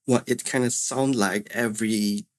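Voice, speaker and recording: Guy with a lowish voice doing a casual explainer, repeats stuff to make the point, home room on a proper mic. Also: fairly even intonation, a little slow. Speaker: audio tutorial presenter. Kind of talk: casual explanatory tutorial talk. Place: small untreated home room with furniture. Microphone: close studio microphones, condenser then dynamic.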